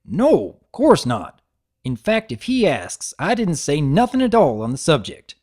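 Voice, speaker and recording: A bashful, folksy farm stallion, as a woman reader puts him on. He speaks low and slowly, hesitating and trailing off between phrases. It is a gentle storybook character voice. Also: big pitch swings, full character voice. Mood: hesitant. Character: shy, slow-talking farm stallion. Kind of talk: dramatic audiobook reading.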